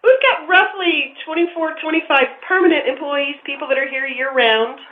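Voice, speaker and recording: A woman's voice: high voice, normal speed. A woman speaking as a park official in an interview, explaining things in an orderly way. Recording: echoey, tinny phone line.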